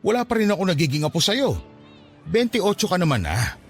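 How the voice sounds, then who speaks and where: A man acting a devout, wise, gently nagging father in his fifties in a Filipino radio drama, speaking in a fatherly, reassuring way with a Filipino accent. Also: sits high, wildly swooping pitch, a little fast, slightly rough, faint music behind, full character voice. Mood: nagging, exasperated. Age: 50s.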